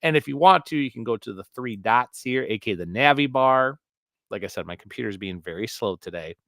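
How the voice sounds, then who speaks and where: A male teacher with a naturally loud voice, walking through a screen-recorded software tutorial in a rambling way full of fillers and restarts. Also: medium pitch, animated, fairly quick, creaky, no echo, clean studio sound.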